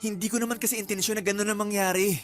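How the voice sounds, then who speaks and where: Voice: medium pitch, level tone, racing, natural voice. Actor doing desperate young guy begging his lover, insistent, filipino radio drama, music under it. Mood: desperate, pleading. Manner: pleading, insistent. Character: desperate, pleading young man torn between two women.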